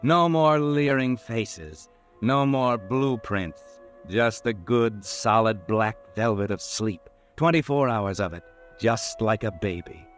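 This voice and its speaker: A sardonic, all-knowing narrator. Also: male voice, fairly low pitch, takes it slowly, soft background music. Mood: knowing, mocking. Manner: sardonic, speaks to the hero in second person. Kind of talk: 1940s radio drama narration.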